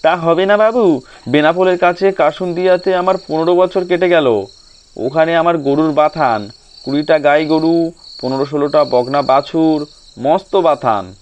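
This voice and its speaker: Storybook: man putting on a jittery, talkative village milkman, deferential and eager, lifted pitch. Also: normal speed, a little hiss or hum, lightly acted. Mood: chatty. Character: chatty nervous rural male milkman, middle-aged.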